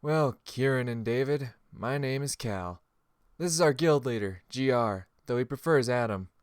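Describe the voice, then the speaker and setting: A seasoned, friendly spearman, voiced by a male narrator in a fantasy audiobook. He talks casually with cocky self-assurance at a middle pitch, in a dry, close recording. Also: expressive, moderate pace, clean tone, no echo, close to an everyday voice. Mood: welcoming.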